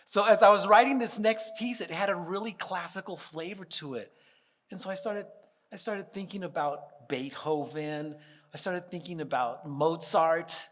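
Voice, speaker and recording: A man who is a pianist and composer, talking casually to a concert audience and reciting a poem in a playful manner, with many chuckles and dramatic pauses. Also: high voice, fairly bright tone. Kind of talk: informal stage talk and poem recitation. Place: concert hall stage. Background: faint audience laughter.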